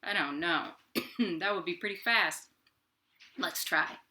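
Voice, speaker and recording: A woman's voice: fairly low pitch, takes it slowly. An American woman hosting a storytime for young children, reading and chatting expressively and speaking straight to the kids. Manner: expressive, addressing children directly with questions. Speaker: children's librarian and storytime host. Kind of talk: animated picture-book read-aloud and chat for young children. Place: indoors at her home.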